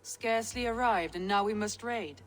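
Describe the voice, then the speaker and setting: Woman acting a measured Viking strategist, mid-pitched. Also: lightly acted. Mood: firm, wary. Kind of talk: dramatic video game acting.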